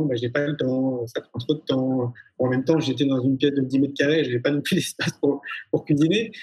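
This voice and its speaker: Husky Parisian man hosting casually. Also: fairly high pitch, low-quality recording. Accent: Parisian French. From Paris, France. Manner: relaxed, rambling, fillers like "en fait". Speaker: host. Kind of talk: casual interview.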